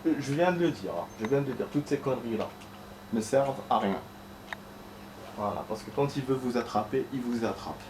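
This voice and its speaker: A chatty man narrating his gameplay in a loose, rambling way, cursing under his breath as he goes. His voice sits at a middling pitch. The recording has a roomy echo and steady noise behind it. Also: fairly quick. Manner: chatty, rambling, swears, addresses viewers as friends. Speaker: gameplay streamer. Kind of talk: casual let's-play commentary. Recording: echoey room with clear background noise.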